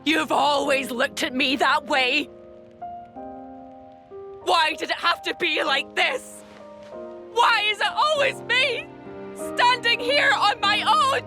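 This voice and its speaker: Very high, anguished young woman. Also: takes it slowly, pauses often, music in the background. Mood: bitter, anguished. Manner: overwrought, accusing. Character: anguished, bitter young woman, unstable and resentful. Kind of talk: video game cutscene acting.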